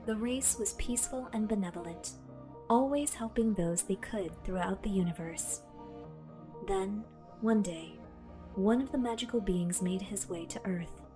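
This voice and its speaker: A woman voicing a calm, all-knowing female artificial intelligence that lives in a hero's belt, speaking in a measured, formal way. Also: medium pitch, lightly acted. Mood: solemn. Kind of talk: fan audio drama. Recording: music bed underneath.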